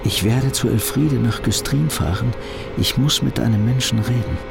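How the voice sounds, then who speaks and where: An actor playing a tormented, restless middle-aged fugitive in a brooding, agitated inner monologue from a German radio drama. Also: male voice, medium pitch, moderate pace, creaky, over music, natural voice. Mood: weary despair.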